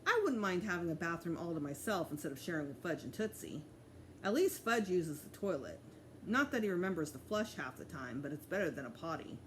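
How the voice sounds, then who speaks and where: A woman reading a children's story aloud in an expressive way, like a teacher at storytime. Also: lowish voice, brisk, a little hiss or hum, poor audio. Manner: expressive, acts out dialogue. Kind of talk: storybook read-aloud with character voices.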